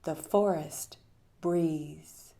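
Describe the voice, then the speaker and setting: A woman leading a guided meditation with poetry readings, speaking soothingly with long pauses and a breathy trail-off at the ends of phrases. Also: low voice, warm tone.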